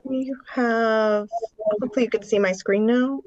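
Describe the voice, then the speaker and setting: A woman's voice: on the high side, normal speed, dry recording, highs cut off. A congested woman presenting a funding update at a public meeting, explaining figures and programs step by step over a video call. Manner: steady, explanatory walk-through of figures and programs. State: congested, under the weather. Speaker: staff presenter at a county board meeting.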